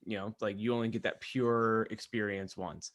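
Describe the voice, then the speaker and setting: A man's voice: on the low side, fairly even intonation, normal speed, a bit bright. A man co-hosting a casual podcast, telling stories to friends in a rambling, self-deprecating way. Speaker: podcast co-host. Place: remote video call.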